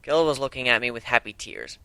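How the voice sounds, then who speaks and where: A man's voice: mid-range voice, very animated, a little fast, vocal fry, pushed and bright, clean tone, no echo. A young man narrating a story in the first person as an amateur dramatic reader.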